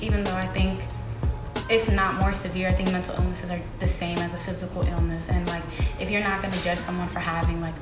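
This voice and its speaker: A woman in her twenties on a discussion panel, speaking earnestly and steadily with some hesitant fillers. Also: on the low side. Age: 20s. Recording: echoey room with loud music behind.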